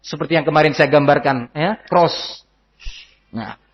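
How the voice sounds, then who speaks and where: A man's voice: fairly high pitch, unhurried. An Indonesian man giving an informal religious lecture as an Islamic teacher, conversational and didactic, often posing rhetorical questions. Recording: dull, lo-fi lecture recording.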